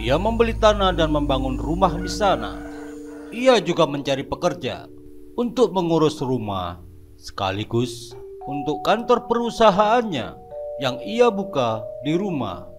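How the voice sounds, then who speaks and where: Steady male narrator, over clear background music. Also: on the high side, a little slow. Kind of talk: storytelling narration.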